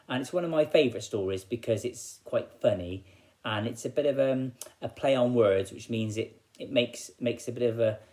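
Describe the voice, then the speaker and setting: A man's voice: lowish voice, darkish tone. An English-accented man, a primary school teacher, speaking expressively to children as a storyteller.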